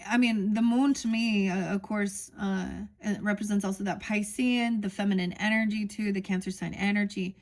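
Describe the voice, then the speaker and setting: A woman with a medium-pitched voice leads an oracle card reading. She muses aloud in a loose, meandering way, drifting between her own thoughts and the text she reads off the cards. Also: steady tone, moderate pace, hardly any pauses, darkish tone. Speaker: tarot reader.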